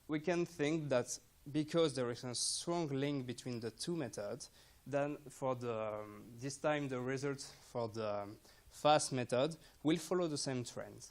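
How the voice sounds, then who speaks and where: Young man giving an academic presentation. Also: medium pitch. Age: young adult. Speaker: student presenter. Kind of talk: academic presentation of research results.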